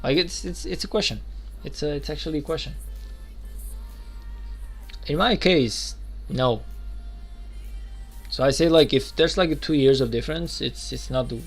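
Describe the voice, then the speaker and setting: A man in his twenties chatting loosely while streaming a game, rambling with lots of fillers and restarts. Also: mid-range voice. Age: 20s. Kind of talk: casual live-stream chat.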